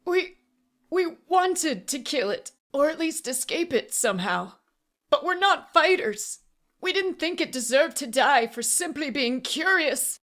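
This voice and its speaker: A woman voicing a short, frightened, tearful man who pleads in halting gasps, in an audiobook. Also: a little high, lively intonation, voice pushed up. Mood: pleading. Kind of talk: audiobook character voice.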